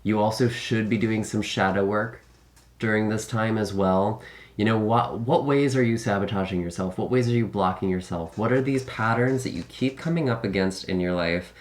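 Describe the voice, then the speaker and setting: A man giving a casual tarot reading, slightly raspy from a recent illness, musing and thinking aloud with many hedges and restarts. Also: on the low side, normal speed. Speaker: tarot reader.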